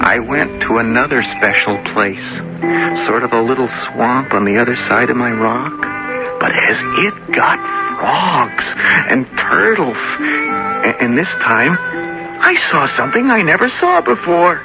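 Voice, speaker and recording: A trusting, simple-hearted boy in a seventies radio play. He speaks slowly and with wide-eyed wonder, a little creaky in the voice, with music behind him. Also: mid-range voice, sing-song, rough recording. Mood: amazed, excited. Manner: slow, simple phrasing with wide-eyed exclamations. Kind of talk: 1970s radio drama acting.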